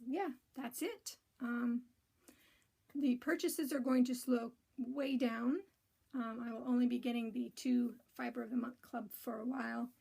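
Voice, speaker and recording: A woman with a raspy voice hosting a casual knitting and spinning show-and-tell, rambling with many ums and restarts. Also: high-pitched, darkish tone.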